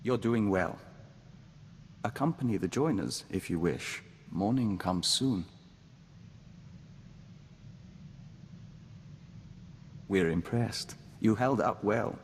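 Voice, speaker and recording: A man playing a calm, formal diplomat joined to a hive mind, speaking in a measured, earnest way in a video game. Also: fairly low pitch. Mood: calm, grateful. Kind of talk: scripted video game companion dialogue. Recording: long reverb, audible background noise.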